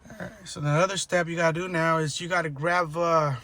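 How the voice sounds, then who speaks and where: A man giving an unscripted hands-on how-to, explaining step by step in a halting, repetitive way as a tutorial presenter. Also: on the high side, level tone, sharp tone. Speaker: DIY car tutorial presenter. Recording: noisy amateur recording with clicking.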